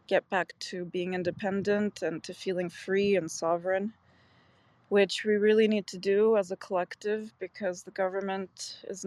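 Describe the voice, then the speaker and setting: A woman's voice: mid-range voice, darkish tone, no room sound. A woman from Canada sharing personal thoughts in an earnest, conversational way, as a speaker in an audio chat room.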